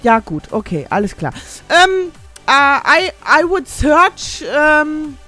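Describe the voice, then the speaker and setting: A middle-aged woman hosting a casual radio show, rambling and self-mocking, reading listener messages in halting broken English. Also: sits high, big pitch swings, bright, forceful tone, faint music behind.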